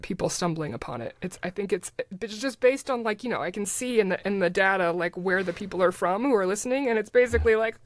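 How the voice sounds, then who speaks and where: A middle-aged woman speaking as a podcast guest in a casual, halting, informal and rambling way. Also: medium pitch. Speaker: podcast guest, audio drama creator. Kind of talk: casual interview chat.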